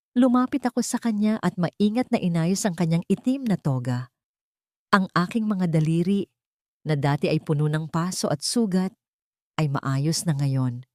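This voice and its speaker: A woman with a Filipino accent narrates a story in the first person, reflective and lyrical. Her voice sits very low for a woman. The sound is dry and close, with no room in it. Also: a little mellow, no room sound.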